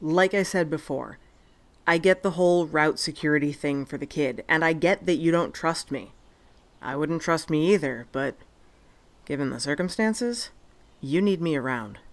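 A woman's voice: sits low, normal speed. A woman playing Tessa, a tough, sarcastic and profane survivor, in a fan audio drama. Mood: confrontational.

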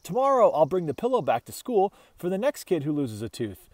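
A man voicing a young schoolgirl in a children's storybook, earnest and gentle, with simple, expressive childlike delivery. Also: fairly high pitch, a little fast, no room sound. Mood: chatty, proud. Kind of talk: children's storybook read-aloud.

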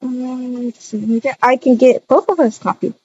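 A woman's voice: high-pitched, fairly even intonation, normal speed, warm tone, muffled recording, slight background noise. A woman improvising a voiced video-game roleplay, chatting and narrating her own actions aloud.

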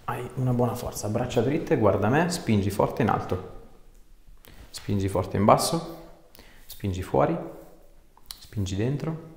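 A man's voice: medium pitch, expressive, unhurried, pauses often. A man in his thirties, an osteopath, giving short encouraging instructions and chatting casually with a patient. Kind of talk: hands-on treatment instructions and casual chat with patient. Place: small clinic treatment room, indoors. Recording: echoey treatment room, faint background noise.

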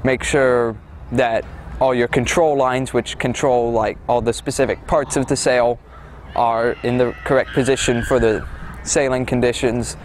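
A man's voice: medium pitch, fairly even intonation, unhurried, a little hiss or hum. A teenage boy, a student sailor, explaining the sport in a matter-of-fact way outdoors on a sports field. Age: teens. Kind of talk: interview answers.

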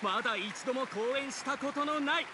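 An actor playing a showy, polite theatre master of ceremonies in an anime game, announcing formally and theatrically. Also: male voice, extremely high pitch, normal speed, crowd noise, noisy background. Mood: grand. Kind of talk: Japanese anime game voice acting. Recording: faint music behind the voice.

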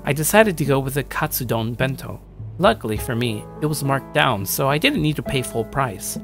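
A man's voice: mid-range voice, animated, normal speed, vocal fry, over music. A man playing a shy, awkward young loner gamer, narrating his own story in a self-deprecating way. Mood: wry, casual. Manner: self-deprecating, stammering when talking to others. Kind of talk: first-person story narration with acted dialogue.

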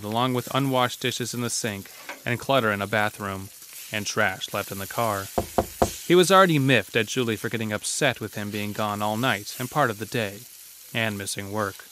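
A man's voice: on the low side, background noise. A man narrating a scripted horror story as the narrator of an audio drama.

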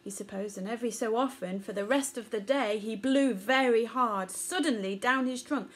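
A woman's voice: fairly high pitch, normal speed. A woman in her thirties narrating a children's storybook aloud, recorded indoors at arm's length. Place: small furnished room indoors.

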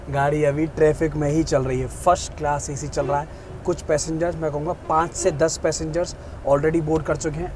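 A young male travel vlogger with a fairly high voice, talking fast and easily to a camera he holds at arm's length. He keeps pointing things out and drops English phrases into his talk. Faint street and engine noise sits under the voice. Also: darkish tone. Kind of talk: casual travel vlog to camera. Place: bus depot outdoors, then inside a sleeper bus. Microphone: phone or action camera at arm's length.

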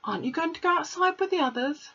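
A woman voicing a gentle, kindly young schoolteacher in a storybook, speaking softly and patiently. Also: very high-pitched, animated, fast, pitched above the performer's own voice, exaggerated voice. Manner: soft and patient. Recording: slightly muffled recording.

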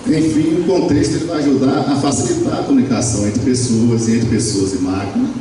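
A man's voice: medium pitch, fairly even intonation, fairly quick, mellow, slight background noise. Man lecturing in an echoey hall. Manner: explanatory, many tag questions and fillers. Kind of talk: university lecture. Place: lecture room with projector. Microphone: handheld mic. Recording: very echoey room, far from camera.

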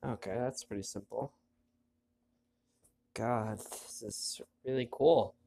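A man narrates his play of a mobile game in an easygoing, chatty way, his voice thick with a stuffy nose. When story text comes up, he reads it aloud softly and lowers his voice. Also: slow pace, gravelly. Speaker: let's play host. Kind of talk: casual gameplay commentary, reading on-screen text aloud.

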